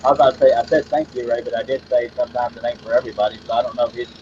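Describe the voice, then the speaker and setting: A man's voice: medium pitch, flat delivery, very fast, lo-fi sound, background noise. A man hosting a woodworking live stream, chatting casually as he works, rambling and self-deprecating, often repeating his words. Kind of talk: casual live-stream chat while working.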